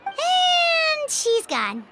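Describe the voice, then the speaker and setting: A woman voicing a small, childlike, cheerful and curious floating fairy companion in a video game, with a squeaky, chirpy delivery. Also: wildly swooping pitch, extremely slow, exaggerated voice. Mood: concerned. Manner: refers to self in third person, chirpy. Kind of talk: cartoonish video game voice acting.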